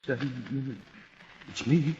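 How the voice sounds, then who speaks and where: An actor playing a frightened male guest in a panic, husky and shrill, in 1940s radio acting. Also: a little slow, mellow. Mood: panicked. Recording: dull lo-fi old radio recording.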